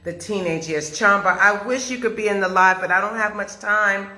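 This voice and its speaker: A woman's voice: mid-range voice, fairly even intonation, moderate pace, sharp tone. A woman hosting a casual live-stream chat interview over a video call, talking in an effusive, gushing way. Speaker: host of a live chat interview, audio drama maker.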